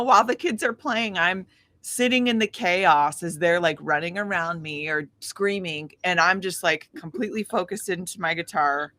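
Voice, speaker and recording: A woman in her thirties with a slightly low voice, recorded close and dry. She talks as an enthusiastic interview guest in a casual, chatty way, gushing along with plenty of filler words. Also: dry recording. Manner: animated, gushing, with frequent "like" and "you know". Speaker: interview guest, independent singer-songwriter.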